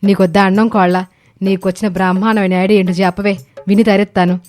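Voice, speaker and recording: A woman's voice: medium pitch, flat delivery, fast talker, darkish tone, close to an everyday voice. A woman voicing an irritable older mother-in-law in a story, grumbling with a scolding, sarcastic manner. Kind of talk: animated moral story dialogue.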